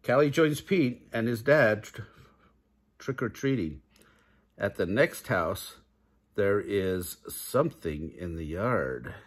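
Male, 50s, husky and unhurried, reads picture books to kids with casual asides. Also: on the low side, expressive. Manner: chuckles often, asks the listening children questions. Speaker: grandpa reading picture books aloud for kids. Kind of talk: children's storybook read-aloud with casual asides. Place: living room, indoors.

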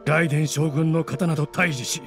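A man's voice: on the high side, fairly even intonation, measured pace, natural voice. A man voicing a proud, bitter spirit of a cursed sword in a Japanese video game, speaking with haughty menace. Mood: sinister, determined. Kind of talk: dramatic Japanese game voice acting. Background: music.

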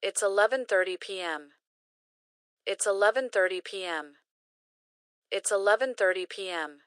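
A woman reading short English phrases slowly and carefully, each one repeated for learners to practice. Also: mid-range voice, a bit bright, dry recording, studio quality. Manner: careful, clearly enunciated. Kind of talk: slow repeated phrase drills.

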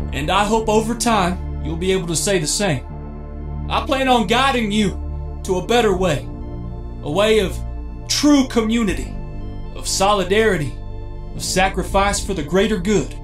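High-voiced preaching cult leader played by an actor. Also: male voice. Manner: grandiose, persuasive, deliberate. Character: male cult leader preaching solemnly to followers. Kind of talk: dramatic sermon-like speech. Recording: echoey hall with music behind.

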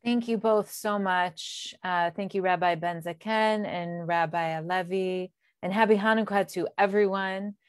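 A woman hosts an online event with closing remarks. Her voice is very clear and smooth, at a middle pitch, and the sound is dry and close. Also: fairly even intonation, unhurried, dry recording. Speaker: host, executive director. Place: indoors on a video call. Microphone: earphone headset mic.

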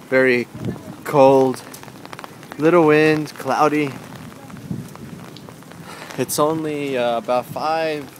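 A young adult man casually narrating a walking vlog in a plain, low-key way, recorded on a handheld camera. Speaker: vlogger. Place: outdoors in rain and busy train stations. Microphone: handheld camera at arm's length. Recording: loud street and station noise, handheld camera. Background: urban ambience, trains, vehicles.

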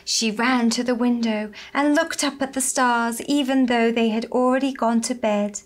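A young woman telling a story to small children in a gentle, deliberate, expressive manner. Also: on the high side. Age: young adult. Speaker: puppet show storyteller. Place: small furnished room indoors.

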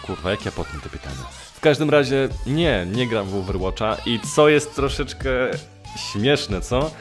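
A man's voice: mid-range voice, sing-song, normal speed. A young man chatting casually in a vlog, hesitant and often correcting himself, speaking close to a desktop microphone. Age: young adult. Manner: hesitant, self-correcting, informal with occasional swearing. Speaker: YouTuber and amateur dubbing voice actor answering viewer questions. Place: small room at home, indoors. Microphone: desktop condenser mic with pop filter, close. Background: music.

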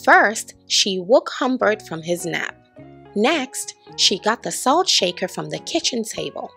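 Woman reading a children's story, lively rising and falling, unhurried, soft music behind. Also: medium pitch, creaky. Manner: animated, with voices for characters. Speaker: storybook reader. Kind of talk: expressive children's read-aloud.

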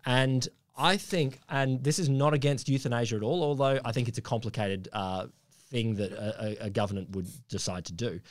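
A man's voice: medium pitch, normal speed, dry recording. A man co-hosting a podcast in a small studio, chatting casually and restarting his sentences often. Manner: stammering restarts, musing. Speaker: podcast co-host. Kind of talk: casual chat. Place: small studio room around a table. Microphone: desk mic on stand, headphones.

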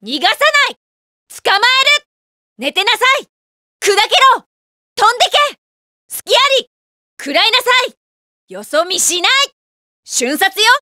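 A woman's voice: very high-pitched, unhurried, pauses often, no echo, clean studio sound, heavily acted. A woman playing a spirited, determined young female martial artist in a video game, calling out punchy battle lines. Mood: fierce, determined. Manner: energetic, punchy shouts and short battle cries.

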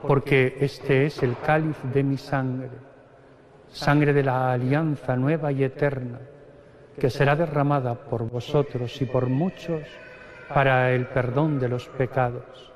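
A middle-aged man from Spain, a priest slowly and solemnly reciting the prayers of the Mass at the altar. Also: mid-range voice, steady tone. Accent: Spanish (Spain). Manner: slow solemn liturgical recitation, parts chanted. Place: large stone cathedral. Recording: long cathedral reverb.

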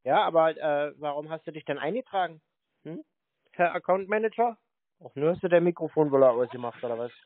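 A man's voice: a little high, animated, fairly quick. A man bantering casually on the phone in a teasing, sarcastic manner. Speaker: prank call recipient baiting scam callers. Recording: tinny phone-like sound.

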